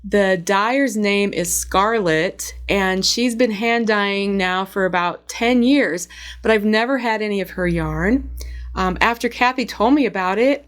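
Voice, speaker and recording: A woman with a cold, her voice hoarse, chatting casually to camera about knitting and yarn, with frequent ums. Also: medium pitch. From United States. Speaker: knitting vlogger.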